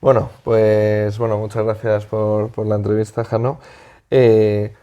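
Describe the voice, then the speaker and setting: A man from Madrid with a Spain accent, answering as a political interview guest in a halting, conversational way, searching for words. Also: on the low side, little pitch movement, mellow.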